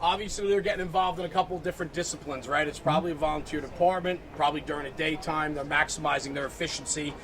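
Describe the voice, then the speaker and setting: A man's voice: on the high side, steady tone, rapid-fire, hardly any pauses. A man hosting an unscripted equipment walkaround, enthusiastic and emphatic, often checking in with "right?". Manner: emphatic, engaged, peppers talk with "right?". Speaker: host of a fire apparatus walkaround. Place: outdoors at a busy convention. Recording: outdoor crowd and vehicle noise.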